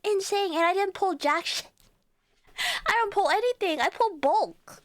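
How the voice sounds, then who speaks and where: A woman's voice: very high-pitched, lively intonation, unhurried, a bit bright, no echo. A young woman with a Cantonese accent chatting casually with her viewers as a livestreamer, chatty and giggling often.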